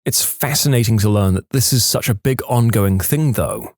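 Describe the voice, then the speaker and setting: A man's voice: on the low side, moderate pace, darkish tone, no echo, studio quality. A man narrating read-aloud online stories in a scripted storytime style, as the host of a channel.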